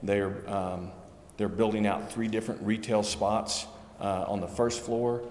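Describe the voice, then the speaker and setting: A very deep, monotone man picks his way through a council explanation, pausing on ums and correcting himself. He is picked up in a reverberant council chamber with a long echo and a faint hum behind him. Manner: hesitant, many ums and restarts. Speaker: city staff member presenting grant details.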